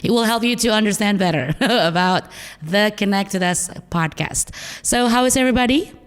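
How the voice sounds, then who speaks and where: A hoarse woman from Indonesia, hosting a casual podcast chat in an upbeat, laughing manner and asking her co-hosts questions. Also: medium pitch, moderate pace. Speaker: podcast host. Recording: reverberant room.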